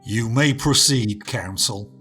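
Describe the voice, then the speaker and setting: Man acting a stern, slow-spoken trial judge. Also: over music. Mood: stern, commanding. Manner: formal, booming, deliberate. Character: stern, commanding male trial judge, righteous and disgusted. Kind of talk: radio play acting.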